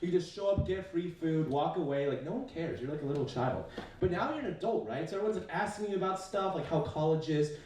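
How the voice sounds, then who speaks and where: College-age guy doing stand-up, high and bouncy, swears a lot, lots of likes, very echoey room. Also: expressive, fast, a little mellow, recorded from a distance, a little hiss or hum. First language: Chinese. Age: young adult. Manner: casual, swearing, filler-heavy, self-mocking. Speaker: stand-up comedian. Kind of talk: stand-up comedy set. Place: university comedy stage.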